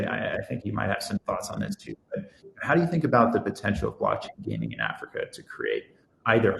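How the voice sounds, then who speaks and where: A man with a lowish, gravelly voice moderates a conference panel, restarting words as he works toward his questions. The sound is roomy and echoey, with faint noise underneath. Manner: hesitant, repeating words as he frames questions.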